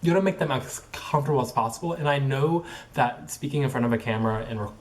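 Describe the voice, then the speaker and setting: Young man who stutters, halting and filler-heavy. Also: medium pitch. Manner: stutters with repeated sounds and blocks; filler-heavy, halting phrasing with many likes and ums. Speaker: vlogger. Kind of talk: casual personal vlog monologue.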